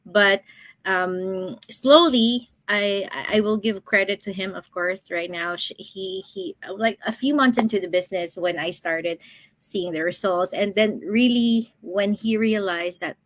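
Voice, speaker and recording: A woman's voice: mid-range voice, unhurried, no echo, low-quality recording. A woman in her thirties, a guest on an interview show, chatting casually about her own experience and laughing often between thoughts. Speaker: guest, online business coach.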